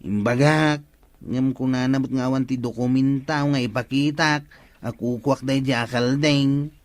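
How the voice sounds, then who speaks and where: An actor playing a stubborn, crafty village goat trader in an Ilocano radio comedy drama, with an Ilocano accent. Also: male voice, mid-range voice, fairly even intonation, moderate pace, dry recording, close to an everyday voice. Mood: scheming.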